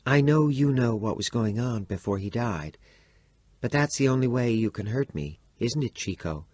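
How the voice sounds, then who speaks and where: A man voicing a calm, cold middle-aged stepmother who speaks quietly and evenly. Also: medium pitch, normal speed, no room sound, clean studio sound, pitched above the performer's own voice, lightly acted. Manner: quiet, even and controlled.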